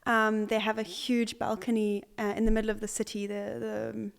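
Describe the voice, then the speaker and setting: A young woman from Zimbabwe talks as an artist being interviewed. She sounds hesitant and thoughtful, pausing often and restarting sentences. Her pitch sits in the middle range. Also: completely flat delivery, creaky. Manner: hesitant, many fillers and restarts. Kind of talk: conversational interview.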